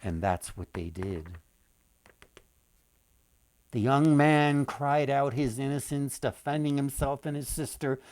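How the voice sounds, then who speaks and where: An older man reading a children's story expressively, with dramatic pauses, addressing his listeners directly. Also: medium pitch, darkish tone. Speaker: author and storyteller.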